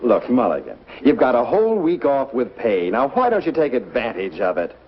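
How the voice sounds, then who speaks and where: A man's voice: mid-range voice, huge pitch swings, normal speed, slightly rough. A man playing a dry, sarcastic boss in an old sitcom. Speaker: wisecracking boss. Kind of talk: 1950s sitcom acting. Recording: lo-fi vintage TV audio.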